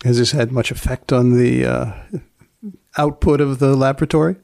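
A man hosting a podcast, narrating and asking questions in a measured, deadpan way. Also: medium pitch, creaky, no room sound, studio quality. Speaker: podcast host and magazine editor.

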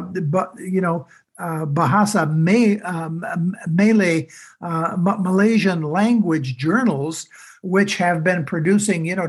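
A man talks in a panel discussion, halting and searching for words with frequent fillers and restarts. His voice sits fairly high and sounds breathy and husky. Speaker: panel guest.

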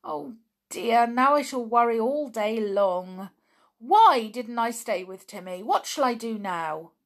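A woman's voice: medium pitch, big pitch swings, unhurried, a bit bright, husky, heavily acted. A woman voicing a worried, stubborn young tomboy girl in a storybook, speaking in an emphatic, pleading way. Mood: distressed, wailing.

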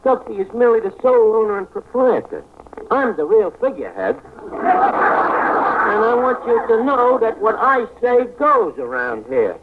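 Tinny old-radio blustering tavern manager. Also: male voice, very high voice, huge pitch swings, a little slow, background noise, heavily acted. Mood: boastful. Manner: pompous, mangles fancy phrases. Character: blustering, self-important male tavern manager, fawning to customers. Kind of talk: 1940s radio comedy acting.

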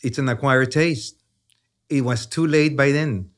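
Chilean guy in his 60s, explains brain stuff, lots of "you know" and "yeah?", lively and chatty. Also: medium pitch, measured pace. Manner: animated, repeats "yeah?" and "you know", stammers on restarts. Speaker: neuroscientist guest. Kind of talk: conversational explanation.

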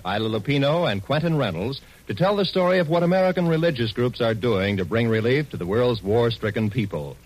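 A man's voice: on the high side, low-quality recording. A man announcing in a formal, polished 1940s radio style, reading from a script. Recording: old 1940s radio broadcast.

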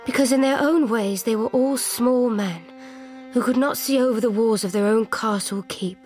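An actress playing a reflective queen, narrating her story in measured radio drama style. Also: fairly high pitch, darkish tone, faint music behind, natural voice.

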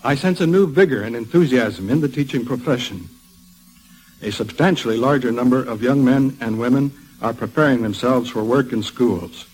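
A man with an American accent giving a formal, scripted radio address as a guest speaker, measured and earnest. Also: medium pitch, darkish tone, poor audio. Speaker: education expert guest speaker.

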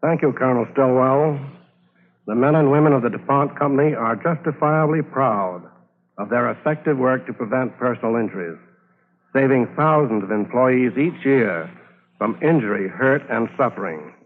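A man's voice: mid-range voice, moderate pace. Formal American man on tinny old radio. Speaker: company manager accepting an award. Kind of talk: formal prepared speech, 1950s radio. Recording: tinny band-limited old radio broadcast.

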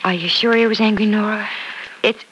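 A woman's voice: medium pitch, fairly even intonation, fairly quick, fairly bright tone, breathy edge, low-quality recording. A soothing, motherly confidante. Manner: soothing and reassuring. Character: gentle, comforting woman confidante. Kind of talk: 1950s radio soap acting.

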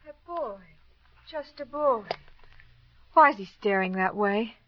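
A woman playing a nervous, defensive female witness in a vintage radio drama, speaking in a hesitant, halting way. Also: fairly high pitch, expressive, background noise. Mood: pitying. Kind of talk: 1940s-50s radio drama acting. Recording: muffled old radio recording, dry studio.

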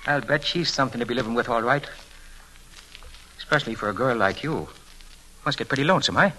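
An actor playing a cocky, flattering young charmer with sly menace, folksy and mock-humble, in old-time radio drama style. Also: male voice, medium pitch, quick pace, rough recording. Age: young adult. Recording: vintage radio recording, clear background noise.

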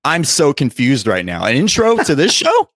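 Male podcast co-host, lively swooping intonation, close dry sound, casual joking banter. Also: fairly high pitch, moderate pace, dry recording.

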